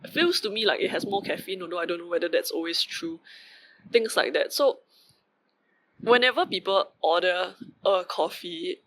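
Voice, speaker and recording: A man's voice: high-pitched, normal speed, a bit bright, low-quality recording. A young man with a Singaporean accent, talking through his thoughts in a casual, rambling monologue filled with fillers and asides. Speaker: vlogger.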